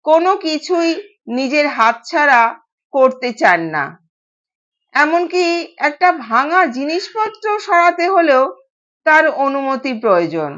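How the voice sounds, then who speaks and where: Young husband, played by a female storyteller, sympathetic and questioning, high voice, unhurried, slightly lo-fi. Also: very animated, fairly bright tone, heavily acted. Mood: concerned doubt. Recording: dull, lo-fi sound.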